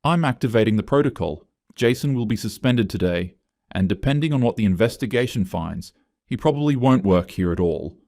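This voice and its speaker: A man acts out a cold, self-assured woman from human resources in an office story. The voice is low and dry, with clipped, officious delivery. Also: animated, a little fast, warm tone, no echo. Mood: firm, reassuring.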